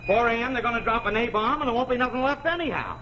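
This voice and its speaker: A cynical, griping workman in a 1940s TV drama. His high voice moves animatedly through colloquial complaints over a noisy vintage soundtrack. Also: lively intonation, fast. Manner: colloquial, sardonic. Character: cynical, griping male army worker. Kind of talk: 1940s television drama acting.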